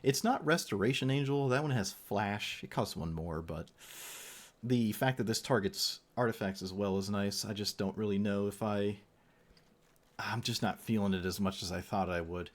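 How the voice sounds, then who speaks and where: A man's voice: lowish voice, moderate pace. Male streamer, conversational unscripted game commentary, opinionated asides, slightly tired voice. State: voice getting tired, losing it. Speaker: streamer reviewing card game decks.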